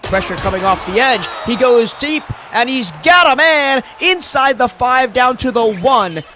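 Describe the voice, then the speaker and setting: A man calling play-by-play for a simulated football game, his voice hoarse and lower after a cold, rising sharply in pitch and volume on big plays. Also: animated, unhurried, pushed and bright, slight background noise. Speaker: play-by-play commentator. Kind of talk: sports play-by-play commentary.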